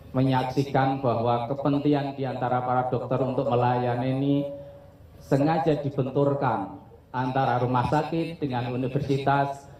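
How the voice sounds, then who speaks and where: A man's voice: medium pitch, a little mellow. A man giving a formal public statement as an academic. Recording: very echoey, faint ambient noise.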